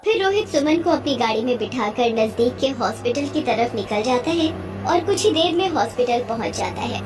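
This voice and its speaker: Woman narrator for cartoon stories, fairly high voice, scripted storytelling. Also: lively intonation, normal speed.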